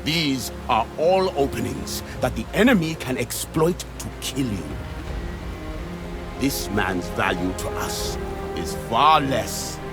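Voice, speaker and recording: A man's voice: mid-range voice, expressive, slow, music in the background. A man playing a harsh, suspicious, ruthless gladiator in a video game, with a severe, declamatory way of speaking. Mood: cold, stern. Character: ruthless, suspicious Roman gladiator bodyguard, quick to anger. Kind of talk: scripted video game acting.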